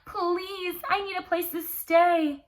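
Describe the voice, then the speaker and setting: Woman acting a frightened storybook father, high-pitched, earnest, pleading. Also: steady tone, takes it slowly.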